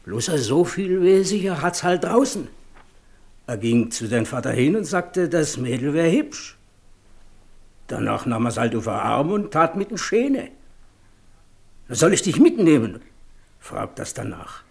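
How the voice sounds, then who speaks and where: An actor playing a teasing, rough country horse dealer in a German radio play, telling things in folksy Silesian dialect. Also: male voice, on the high side, big pitch swings, a little mellow, heavily acted. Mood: storytelling, sly. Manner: folksy, drawn-out storytelling.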